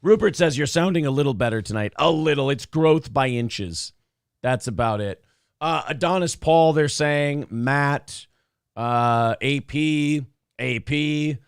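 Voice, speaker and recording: A man with a hoarse, raspy voice hosts a casual radio show, rambling through chat messages with restarts and swearing. His pitch sits in a middle range. The studio sound is dry and close. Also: no room sound. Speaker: radio show host reading live chat.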